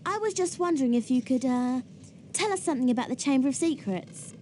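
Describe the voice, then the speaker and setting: A woman's voice: high-pitched, expressive, fairly quick, warm tone, slight background noise. An actress with a British accent playing an earnest, bookish schoolgirl at a wizard school in a video game. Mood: earnest, curious. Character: earnest, bookish schoolgirl witch, eager and bright.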